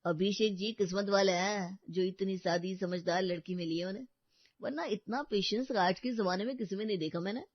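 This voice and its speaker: A woman's voice: medium pitch, normal speed, dry recording, natural voice. An easygoing young bachelor in a cartoon story who jokes freely and speaks kindly of others, voiced by a woman narrator. The recording is dull and lo-fi, dry and close. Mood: friendly. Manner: joking, easygoing. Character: cheerful, joking young bachelor brother.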